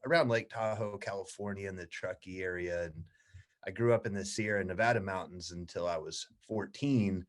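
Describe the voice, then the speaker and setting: A man around forty, a guest in a casual interview, telling stories in a relaxed, easygoing way. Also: sits low, moderate pace. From United States.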